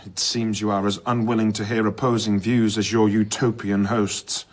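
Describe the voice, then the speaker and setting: An actor playing a sceptical gentleman in a radio drama, husky, probing and wryly challenging. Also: lowish voice, little pitch movement, normal speed, lightly acted. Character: sceptical, needling male English gentleman interrogator.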